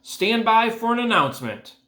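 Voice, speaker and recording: A man voicing a pompous fairground loudspeaker announcer from a storybook, grand and ceremonial in delivery. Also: very high voice, very animated, moderate pace, pitched above the performer's own voice, heavily acted. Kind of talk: storybook character voice in a read-aloud. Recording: echoey room.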